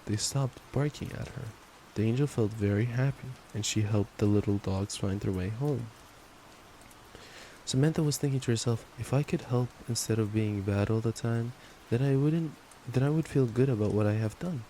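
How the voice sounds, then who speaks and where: Low, mellow young man, affectionate boyfriend ASMR. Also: normal speed, low-quality recording. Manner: affectionate, casual, playful. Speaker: ASMR roleplayer playing a boyfriend. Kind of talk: intimate ASMR boyfriend roleplay with bedtime story reading. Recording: steady rain noise. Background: rain sounds.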